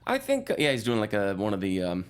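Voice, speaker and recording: A man's voice: lowish voice, wildly swooping pitch, fairly quick, clear, resonant tone. A man chatting casually as a panelist on a film show, opinionated, dry and offhand.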